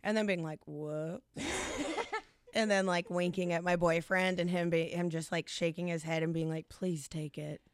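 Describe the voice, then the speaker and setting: A man co-hosting a comedy podcast in a studio, joking and self-mocking in a high, childish put-on voice. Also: fairly even intonation, moderate pace, clear, resonant tone. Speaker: podcast co-host. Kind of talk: casual comedic chat.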